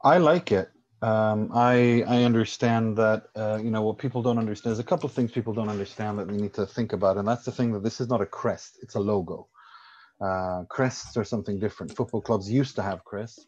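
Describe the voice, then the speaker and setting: A man's voice: on the low side, a little mellow, dull audio. A man from Sweden, a football journalist guesting on a podcast, explaining his views at length with firm confidence. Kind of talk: casual podcast discussion.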